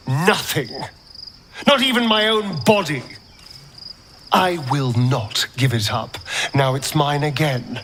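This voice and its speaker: A man playing a wary former slave in a video game, with theatrical, emphatic, deliberate delivery. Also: mid-range voice, a bit bright, a little hiss or hum. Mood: guarded, defiant. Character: wary male former slave guarding his regained body. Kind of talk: dramatic game dialogue.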